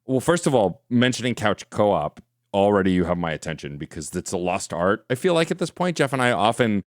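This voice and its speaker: A man's voice: fairly low pitch, very animated, a little fast, no room sound, clean studio sound. A man co-hosting a gaming podcast, enthusiastic and rambling, full of "like"s and laughs. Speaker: podcast co-host. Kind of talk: casual podcast chat.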